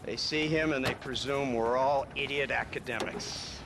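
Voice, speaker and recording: An actor playing a smug, smooth-talking government agent in a western game, speaking in a patronizing way. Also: male voice, mid-range voice, fairly even intonation, normal speed, close to an everyday voice. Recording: noisy outdoor street and vehicle ambience.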